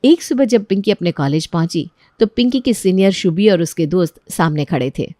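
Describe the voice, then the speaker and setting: A woman's voice: on the low side, expressive, a little fast, warm tone, dry recording, studio quality. A woman reading scripted narration as the storyteller of a moral tale.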